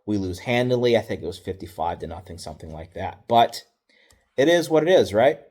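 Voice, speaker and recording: Low-voiced man telling coaching stories. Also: a little fast. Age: middle-aged. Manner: relaxed, wry and matter-of-fact, with fillers and self-corrections. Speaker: football coach. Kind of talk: casual storytelling.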